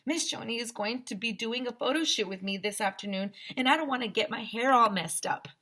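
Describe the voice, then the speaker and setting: A woman's voice: fairly high pitch, a little fast, bright, forceful tone, pitched above the performer's own voice. A woman voicing a cocky, defensive schoolboy who is full of himself, in a storybook reading. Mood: self-important. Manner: indignant, self-important.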